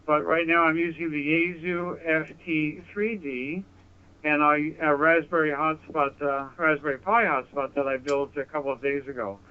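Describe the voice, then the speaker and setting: A narrow, phone-like radio transmission carries an American man's voice, fairly high and very clean in tone. He speaks as a ham operator, measured and polite, taking his time in a conversational exchange. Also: fairly even intonation, smooth.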